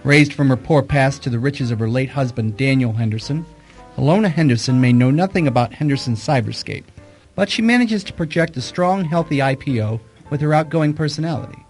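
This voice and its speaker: An actor playing a wisecracking, informal private detective in a radio mystery. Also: male voice, medium pitch, fairly quick, a little mellow, faint music behind, natural voice. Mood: wry narration. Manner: wry, informal. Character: wisecracking private detective, uneasy among high society. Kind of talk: hard-boiled detective radio drama.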